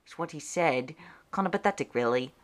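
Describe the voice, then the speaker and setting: A woman's voice: low-pitched, expressive, brisk. A woman voicing a laid-back, kindly young male wolf-dog in a cartoon fan dub, easygoing and wry.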